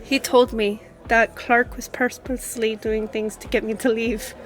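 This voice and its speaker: Girl playing a lady lawyer opening up over drinks, real slow w pauses, bit high pitched, audio drama. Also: little pitch movement, faint music behind, close to an everyday voice, low-quality recording. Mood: wistful. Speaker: voice actor in audio roleplay. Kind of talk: scripted conversational audio roleplay.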